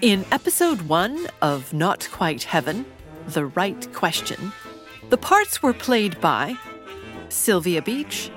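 A woman's voice: fairly low pitch, big pitch swings, takes it slowly, a bit bright. A woman announcer reading scripted credits for an audio drama in a narrating style. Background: music.

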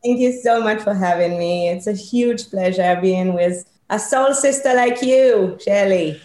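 A woman's voice: mid-range voice, a little slow, warm tone, echoey room. A woman with a mixed British and Israeli accent, a guest on a podcast, talking earnestly about leadership and listing ideas thoughtfully. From born in Israel, British Israeli. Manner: earnest, reflective, speaks in lists. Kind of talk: podcast interview. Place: remote call from home or office.

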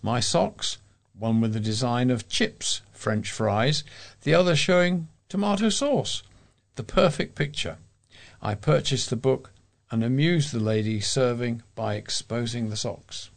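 A man with a British accent reads letters and columns from local papers aloud. He has an average-pitched male voice and works as a volunteer reader for a talking newspaper. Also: lively intonation, a little slow, gravelly.

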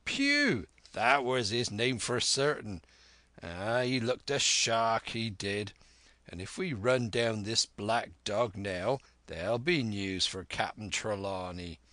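A man's voice: fairly low pitch, takes it slowly, pushed and bright, no room sound. A man voicing a hearty, shrewd one-legged old sailor turned innkeeper, with emphatic, salty seafaring talk in a storybook reading. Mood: excited.

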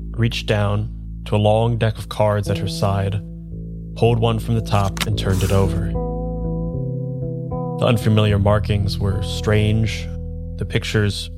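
Low-pitched male actor as weary, lost amnesiac seeker for a noir radio play, measured and halting, music underneath. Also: close to an everyday voice. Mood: brooding, intrigued. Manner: measured, dramatic pauses when narrating, hesitant in dialogue. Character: bewildered amnesiac man, weary noir narrator seeking answers. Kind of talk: improvised noir radio drama narration.

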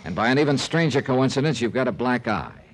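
A man's voice: medium pitch, expressive, brisk, breathy edge. An actor playing a hard-boiled insurance investigator who narrates his own case in a 1950s radio drama, dry and wry. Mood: probing. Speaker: lead and narrator. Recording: old radio broadcast with music beneath.

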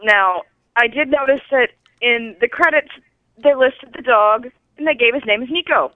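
A woman calling in to a podcast over a thin phone line, giving casual movie feedback in a halting, dryly puzzled way. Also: on the high side, no echo. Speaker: caller to a podcast. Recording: thin, band-limited telephone line.

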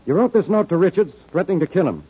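A gruff, suspicious police inspector on a muffled vintage radio show. His rough-edged voice sits fairly high and swings widely in pitch as he needles people bluntly with hard-boiled sarcasm. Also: expressive, fast. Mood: exasperated, accusing. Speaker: actor in a detective radio drama. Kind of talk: old-time radio detective acting. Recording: muffled old-time radio recording.

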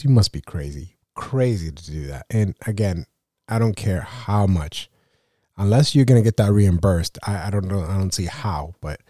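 A man's voice: low-pitched, warm tone, slightly rough, no room sound, clean studio sound. A man with a cold hosting a photography podcast, rambling in a casual rant full of "you know" and "right?". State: sick with a cold.

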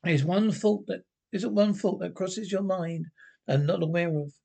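A man's voice: high voice, gravelly, darkish tone. A man voicing a smug, taunting inner voice. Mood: mocking. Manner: sly, taunting, drawn-out. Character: mocking male inner voice, smug and knowing.

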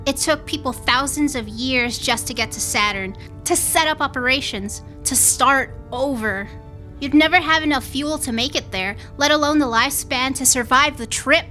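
Sharp, sarcastic, high-voiced defiant woman. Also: moderate pace, soft background music. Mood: scornful. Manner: sardonic, combative. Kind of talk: audio drama acting.

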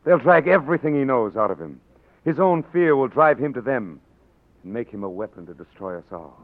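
An actor with an Irish accent playing a stern, weary rebel commandant in a 1940s radio drama. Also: male voice, mid-range voice, animated, brisk, lightly acted. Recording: tinny old radio broadcast with hiss.

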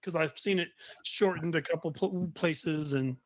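Male podcast host, higher register, casual and halting interviewer, close dry sound. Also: dry recording. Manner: halting, repeats and rephrases questions.

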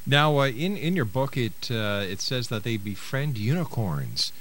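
A man's voice: mid-range voice, very animated, a little slow, a bit bright, a little hiss or hum. An older man, hosting a live talk radio show in an announcer's style.

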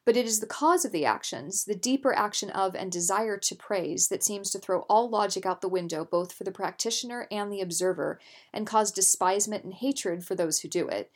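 Woman, audiobook narrator, mid-range pitch, measured articulate delivery, scripted nonfiction.

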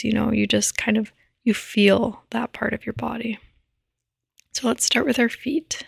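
A woman gently guiding a sleep meditation in a soft, breathy, soothing voice that often nears a whisper, close to the microphone. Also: medium pitch, no echo. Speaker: podcast host and meditation guide. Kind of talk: guided meditation, sleep podcast.

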